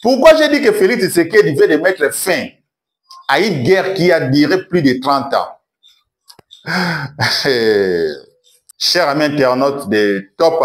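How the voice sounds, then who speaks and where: A man with a Congolese accent hosting an online commentary show, speaking in a declamatory way with emphatic repetition. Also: mid-range voice. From Democratic Republic of the Congo. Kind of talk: animated political commentary to camera, mixing French and Lingala.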